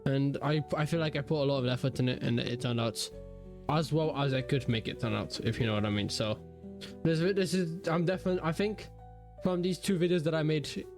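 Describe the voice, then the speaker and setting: A man's voice: a little high, fairly quick, a little mellow. A young man with a cold, narrating his drawing video casually, rambling with many fillers and restarts. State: has a cold, voice deeper than usual. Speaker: digital art YouTuber. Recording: faint guitar music behind. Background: faint guitar music.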